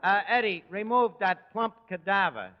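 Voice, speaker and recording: Brash, high-pitched New York barkeep. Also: male voice, lively intonation, moderate pace. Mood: wry. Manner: mangles words with mock grandeur. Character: brash, malaprop-prone New York tavern manager. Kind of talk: 1940s radio comedy acting. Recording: muffled hissy 1940s radio, reverberant hall.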